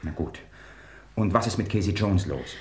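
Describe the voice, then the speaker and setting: Radio crime play: cornered suspect, lowish husky voice, swinging from pleading to sardonic. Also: male voice, expressive, normal speed, a little mellow, full character voice. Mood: troubled, bitter. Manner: urgent, sardonic, emotional. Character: accused man, desperate, exasperated, sardonic. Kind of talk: German radio crime drama acting.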